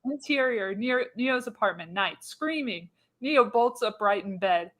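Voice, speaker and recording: A woman's voice: fairly high pitch, expressive, a little slow, a bit bright. A woman narrating a radio play script, reading stage directions with dramatic delivery. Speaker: narrator, show host.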